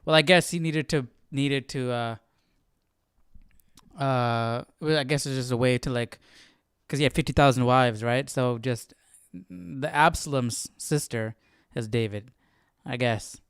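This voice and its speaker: A man's voice: medium pitch, a little slow, clean tone, no echo, clean studio sound. A man hosting a live Bible study, casually musing and thinking aloud in a puzzled way.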